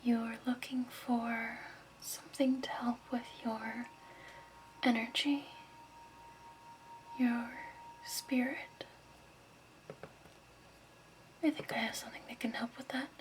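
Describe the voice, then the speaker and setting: A woman playing a gentle, soothing crystal shop keeper in a hushed, patient ASMR roleplay whisper. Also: a little high, little pitch movement, slow pace, a little hiss or hum, rough recording.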